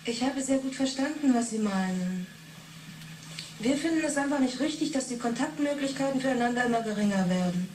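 An actress playing a stubborn young reporter under questioning in a radio drama, terse and earnest. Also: female voice, fairly high pitch, lively intonation, brisk, a little mellow, close to an everyday voice. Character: defiant 28-year-old female reporter under questioning. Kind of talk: 1980s German radio drama acting. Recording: roomy, distant, hissy interrogation tape.

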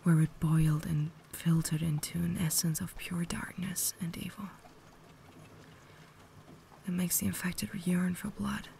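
Casting: woman to play refined, tormented vampire lady for ASMR roleplay; breathy whisper, gravelly fry, caring and formal. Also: fairly low pitch, level tone, dark tone, a little hiss or hum. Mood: tormented, confessional. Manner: formal, measured, earnest diction.

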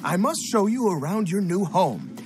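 A fussy, eager-to-please casino host for a game cutscene. His voice sits fairly high and swings widely in pitch, formal and fawning with a flustered edge. Music plays clearly behind him. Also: animated, normal speed. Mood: deferential, flustered. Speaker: casino guest services director. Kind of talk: video game cutscene acting.

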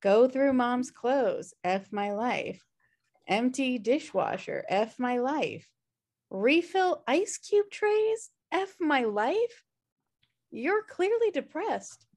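A woman's voice: high voice, sing-song, slow pace, darkish tone, heavily acted. A woman playing a blunt, sarcastic, slobbish sister in a comedy table read. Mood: concerned. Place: indoor room on a video call. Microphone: video call.

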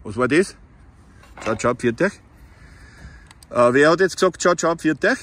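A man's voice: on the high side, animated, slow, fairly bright tone, slight background noise. A man with an Austrian accent chatting casually as a CB radio hobbyist, recorded outdoors. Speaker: amateur CB radio operator filming his meetup. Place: outdoors by a roadside.

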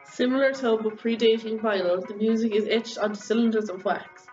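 A woman's voice: on the high side, fairly even intonation, brisk, darkish tone. A young woman giving a scripted educational narration as a student presenter. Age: young adult. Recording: slightly dull band-limited, faint music. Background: music.

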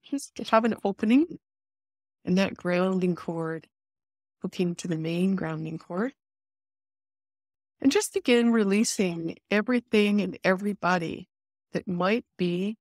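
A woman leading a guided meditation, giving soothing, unhurried instructions with pauses between phrases. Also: medium pitch, a little mellow, no room sound, clean studio sound.

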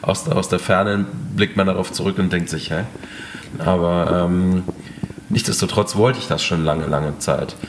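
A man who is a chef, answering interview questions in a relaxed, hesitant way with many fillers. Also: deep voice, unhurried, background noise. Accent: German. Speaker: chef, restaurant owner. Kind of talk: casual interview talk.

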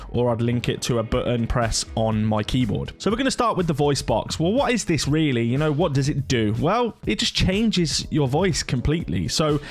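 A man in his thirties giving a casual software walkthrough as a gaming YouTuber. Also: medium pitch. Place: indoor home gaming setup.

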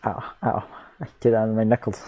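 A man's voice: lowish voice, flat delivery, moderate pace, mellow, lo-fi sound, a little hiss or hum. A man giving a casual read-aloud narration of a visual novel.

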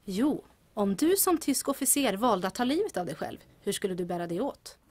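An actress playing a quick-witted, composed and cheeky heroine in a comedic audio drama, speaking in a brisk, wry way. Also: female voice, medium pitch, very animated, darkish tone, breathy edge. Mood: urgent, inspired.

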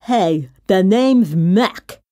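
A scruffy storybook monster acted out by a woman, big, male and pushy. The voice is slobbery and grumbling, with slow, drawn-out words and lively swings in pitch. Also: no room sound. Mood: menacing, slurpy. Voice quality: slurpy, slobbery.

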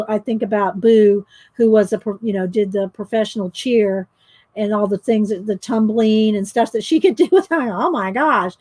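A woman's voice: fairly high pitch, dry recording. Chatty woman storytelling while painting. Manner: chatty, laughs often, talks loud. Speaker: painting vlogger. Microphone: overhead camera mic, speaker off screen.

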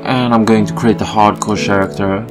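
A man with a Swedish accent giving relaxed let's-play commentary, thinking aloud as he plays. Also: on the low side, over music. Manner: relaxed, thinking aloud while playing, slow with fillers. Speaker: gameplay commentator.

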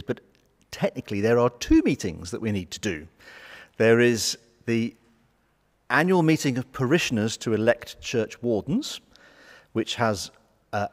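Relaxed man in an echoey church. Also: lowish voice. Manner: chatty, relaxed, many ums and asides. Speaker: church leader chairing the annual meeting. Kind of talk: informal church notices. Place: large stone church, congregation present. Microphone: far fixed camera view. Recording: long church reverb.

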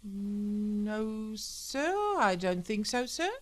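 A woman reading aloud, voicing a timid, polite young boy who cries out high and pleading when scared. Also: big pitch swings, extremely slow.

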